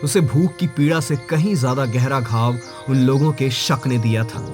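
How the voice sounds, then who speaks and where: A man's voice: medium pitch, normal speed, over music. A man reading a scripted moral story as its narrator, in a dramatic storytelling style.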